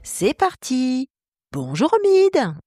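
A woman's voice: high-pitched, huge pitch swings, takes it slowly, mellow, faint music behind. A woman hosting a podcast interview, reading scripted questions in a polite, presenter-like way.

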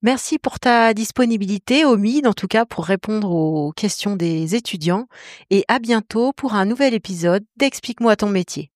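A woman's voice: mid-range voice, expressive, moderate pace, darkish tone, dry recording, clean studio sound. A woman hosting a podcast interview, reading scripted questions in a polite, presenter-like way.

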